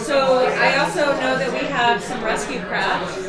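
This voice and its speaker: A woman's voice: sits high, measured pace. A woman playing a tabletop role-playing game live, improvising in conversation. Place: large hall at a gaming convention, live. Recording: echoey hall with loud crowd.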